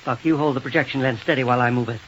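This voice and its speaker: An actor playing an authoritative, concerned scientist in an old radio serial, urgent and commanding with slight stammers. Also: male voice, mid-range voice, steady tone, rapid-fire, fairly bright tone, natural voice. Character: elderly-authority male scientist doctor, brisk, concerned, kindly. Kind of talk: 1930s radio serial acting. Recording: muffled, hissy old radio recording.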